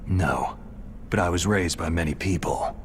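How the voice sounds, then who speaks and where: A hard-boiled lone soldier in a video game. The voice sits low and gruff, and the delivery is terse, stoic and emotionally guarded, as if closeness is unwelcome. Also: male voice, measured pace, slight background noise. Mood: guarded, dismissive. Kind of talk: video game cutscene acting.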